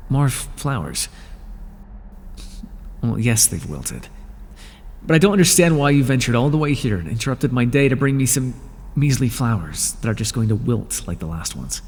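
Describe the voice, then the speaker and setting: A man voicing a proud, weary male god of the underworld in an ASMR roleplay, with a dry, aloof manner and a quiet curiosity. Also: mid-range voice, slight background noise.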